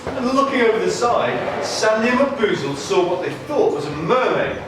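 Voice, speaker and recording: A man's voice: high-pitched, sing-song, normal speed. A man narrating a scripted story as part of a live puppet show. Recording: echoey noisy hall, far from microphone.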